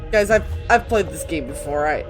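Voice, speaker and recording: A woman's voice: sits low, expressive, moderate pace, over music. A woman hosting a let's play with casual, informal, sweary gaming commentary.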